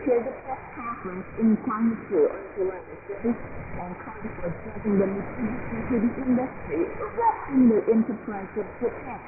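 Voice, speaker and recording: A woman reading scripted radio news in a formal, deliberate manner over shortwave. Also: on the high side. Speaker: news reader. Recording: noisy, narrow-band shortwave radio broadcast.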